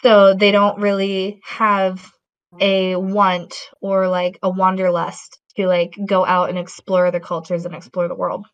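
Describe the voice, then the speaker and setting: A woman talks slowly in a relaxed chat, wandering through her points with plenty of ums and likes. Her pitch sits in the middle for a woman. The recording is muffled and lo-fi. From Latin American heritage. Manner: rambling, many fillers and restarts. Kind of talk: casual conversation. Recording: dull lo-fi audio.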